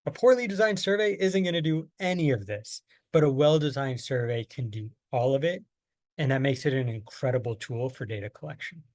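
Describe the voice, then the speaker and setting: A man's voice: mid-range voice, a little fast, a little mellow, no echo. A man from the United States presenting a training lesson on survey design, explaining patiently with plain everyday examples. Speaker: workshop presenter. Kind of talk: online training lecture.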